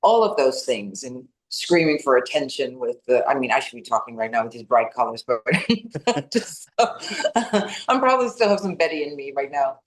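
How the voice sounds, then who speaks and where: A woman, a native Dutch speaker, answering interview questions about her acting work in a lively, chatty way with many restarts. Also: a bass voice, moderate pace. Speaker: actress and executive producer. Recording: roomy echo.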